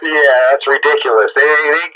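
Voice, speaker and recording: A man's voice: a little high, steady tone, normal speed, dull audio. A man chatting casually on two-way radio in an opinionated, dismissive and blunt manner. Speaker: amateur radio operator chatting on air. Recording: noisy band-limited CB radio transmission.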